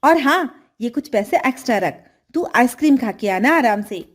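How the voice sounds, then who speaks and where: A greedy storybook stepmother with animated, swinging intonation, played by a woman narrator. She is coaxing and eager on the surface, calculating underneath. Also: a little high, normal speed, slightly rough. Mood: falsely sweet. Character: greedy, scheming stepmother of a young boy. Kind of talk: children's storybook dialogue.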